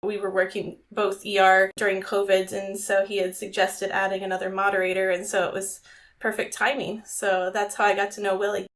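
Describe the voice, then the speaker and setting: A woman's voice: medium pitch, flat delivery, brisk. Woman co-host, chatty and friendly. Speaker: podcast co-host. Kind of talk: podcast interview.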